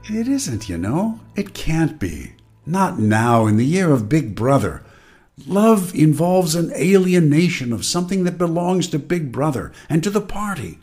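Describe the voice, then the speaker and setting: A man's voice: on the high side, big pitch swings, a little slow, darkish tone, husky, faint music behind, full character voice. A man playing an ominous, mocking narrator and inner voice in an audio drama, dramatic and portentous. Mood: mocking, goading.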